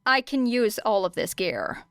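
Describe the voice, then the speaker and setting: A guarded teenage girl acted by a woman reader, blunt and deadpan. She speaks in stiff, clipped, weary phrases with a clear, smooth tone in a dry recording. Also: mid-range voice, unhurried, no room sound.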